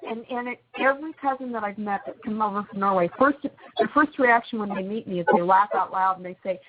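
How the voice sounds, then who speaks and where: A woman's voice: medium pitch, a little fast. A woman telling family holiday stories in a chatty, laughing way as a guest on a call-in show. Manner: chatty, laughs often, storytelling. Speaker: radio guest. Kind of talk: casual call-in chat. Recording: tinny phone line with noise.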